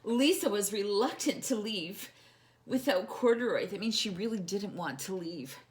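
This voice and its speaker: A woman reading a picture book as a storytime host, animated and addressing young children directly with questions. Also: mid-range voice, moderate pace.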